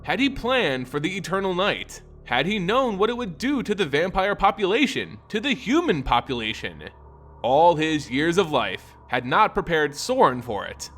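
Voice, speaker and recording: A man narrating a scripted fantasy story in a theatrical, measured, dramatic way. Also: a little high, sing-song, a bit bright. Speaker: lore channel narrator. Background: background music.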